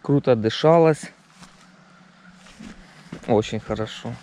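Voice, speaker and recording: A man's voice: mid-range voice, slow pace, warm tone. Man vlogging casually with faint background noise. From Ukraine. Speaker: camping vlogger. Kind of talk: casual vlog narration to camera. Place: outdoors at a forest campsite.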